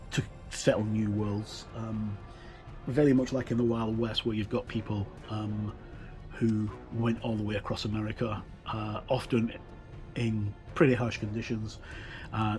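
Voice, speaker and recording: Middle-aged man explaining haltingly, music behind. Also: lowish voice, level tone, darkish tone, rough recording. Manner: informal, explanatory, halting with frequent hesitations. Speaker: tabletop game reviewer. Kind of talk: casual unscripted walkthrough commentary.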